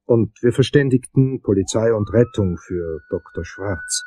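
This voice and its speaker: An actor playing a hard-boiled detective, narrating his case in a deliberate, theatrical crime radio drama style. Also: on the low side, heavy background noise, close to an everyday voice. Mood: suspenseful. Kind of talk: noir first-person crime drama narration.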